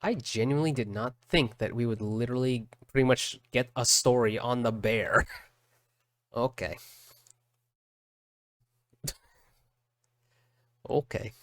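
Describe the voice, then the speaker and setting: A man's voice: medium pitch, slow, long pauses, no echo. A man giving casual, hesitant reaction commentary as a streamer watching game videos. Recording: loud music behind, dry close mic. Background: game trailer music.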